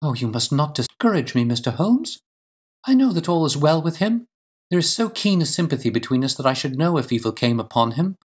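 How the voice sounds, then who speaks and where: A man's voice: a little high, very animated, a little fast, breathy edge, pitched above the performer's own voice. A man voicing an earnest, composed but anxious Victorian wife in an audiobook, with formal, insistent, precise delivery. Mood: earnest, pleading. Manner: formal, emphatic, precise diction. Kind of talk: audiobook character dialogue.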